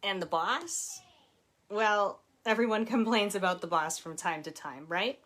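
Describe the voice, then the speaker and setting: A woman's voice: medium pitch, expressive, a little slow, a bit bright. Chatty woman reading her own book. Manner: laughs often, breaks off into casual personal asides. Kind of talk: audiobook reading with chatty asides. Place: home with children nearby.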